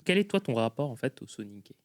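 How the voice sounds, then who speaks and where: A woman's voice: rumbling low, very animated, fast. A woman interviewing a guest, asking short questions and often murmuring agreement. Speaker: podcast interviewer. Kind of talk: conversational interview.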